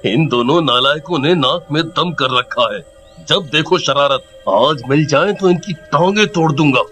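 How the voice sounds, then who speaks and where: An irritable, strict cartoon father, acted by a man, speaks in a fairly high, forceful voice. Music plays behind him. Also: measured pace. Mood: exasperated, threatening. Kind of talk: animated horror story character voice.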